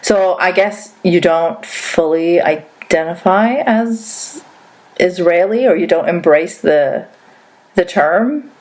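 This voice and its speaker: A woman's voice: on the low side, slow, darkish tone, poor audio. A woman who grew up in Saudi Arabia, hosting a podcast interview in a chatty, casual way full of "like" fillers. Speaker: podcast host.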